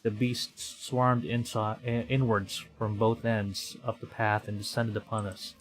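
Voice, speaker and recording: A man from the Philippines streaming a game, thinking aloud in a halting way and reading story text aloud. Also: fairly low pitch, little pitch movement, a little hiss or hum, poor audio. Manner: halting, thinking aloud, stumbling over read lines. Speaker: VTuber streamer. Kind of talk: live game playthrough commentary, reading visual novel text aloud. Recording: background game music. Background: game music.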